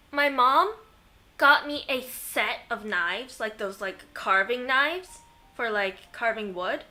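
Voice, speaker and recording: A young adult woman chatting casually as she plays a game, rambling through animated anecdotes. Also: fairly high pitch. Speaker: game streamer. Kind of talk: casual chat while playing a game.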